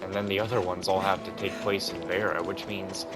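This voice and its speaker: Low-voiced male gamer, rambling casually. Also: level tone, a little fast. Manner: informal, rambling. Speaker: gamer narrating a playthrough. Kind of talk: casual gameplay commentary. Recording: loud game music behind voice. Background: game music.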